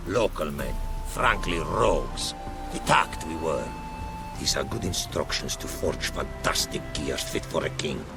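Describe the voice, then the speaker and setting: A proud, courtly explorer from a fantasy game. His voice is low and creaky, with sweeping melody, and he speaks in ornate, backwards-turned phrases. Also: expressive, unhurried, music in the background, full character voice. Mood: formal, earnest. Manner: formal, inverted, poetic phrasing. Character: courtly, philosophical Ophiri merchant explorer, proud and gracious. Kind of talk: video game character acting.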